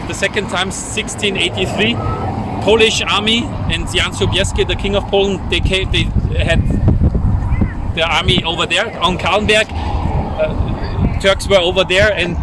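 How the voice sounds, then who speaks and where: A man's voice: sits high, steady tone, heavy background noise. A man with an Austrian accent, a native German speaker, chatting casually and explaining things as a local guide, searching for words. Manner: halting, searching for words in English. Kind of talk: casual chat, explaining to friends. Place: outdoors on a hike. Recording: loud music behind the talk.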